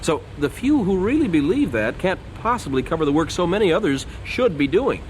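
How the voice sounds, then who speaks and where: A man acting in a radio drama as an earnest, quick-witted young missionary, courteous, persuasive and a little preacherly. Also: high-pitched, sing-song, slight background noise, rough recording.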